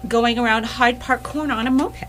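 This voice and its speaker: A woman's voice: on the high side, steady tone, moderate pace, fairly bright tone, a little hiss or hum, close to an everyday voice. A woman playing a sensible, capable space pilot in radio theatre, precise and matter-of-fact. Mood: focused. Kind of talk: staged radio theatre acting.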